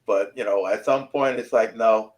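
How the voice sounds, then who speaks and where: A man's voice: fairly low pitch, normal speed. A man, an author guest rambling through casual stories and repeating himself. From New York City. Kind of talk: casual interview chat.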